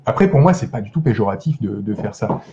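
A man's voice: lowish voice, animated, fast, warm tone, dull audio. A man in a casual podcast discussion as a guest critic, hesitating and repeating words as he searches for them.

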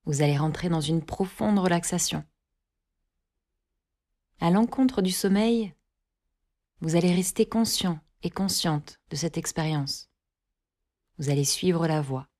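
Female yoga nidra guide: lowish pitch, very unhurried delivery, calm and measured scripted instructions, plenty of space between phrases. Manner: calm, measured, short phrases with long pauses.

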